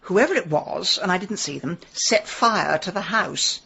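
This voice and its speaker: A sharp-tongued British woman who snoops into mysteries. She is imperious and sardonic, delivering dry wit in radio drama style. The sound is a little muffled and band-limited. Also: fairly low pitch, lively intonation, a little slow, creaky, slightly rough, heavily acted. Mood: dry, indignant. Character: sharp-tongued, bossy, dryly witty amateur woman sleuth.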